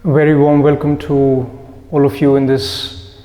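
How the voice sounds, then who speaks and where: A man guiding a group meditation, speaking deliberately in short phrases with pauses between them. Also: a little high, flat delivery, mellow. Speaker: meditation guide. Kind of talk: guided meditation talk to a live group. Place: indoor room with a seated group. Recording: echoey hall with long reverb.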